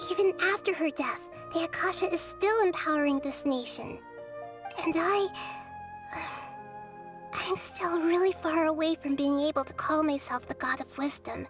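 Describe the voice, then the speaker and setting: A woman voicing a wise, humble young girl deity in a video game, speaking softly and earnestly in measured, formal phrases. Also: sits high, close to an everyday voice. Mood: wistful, humble. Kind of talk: scripted video game character dialogue. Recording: music under the voice.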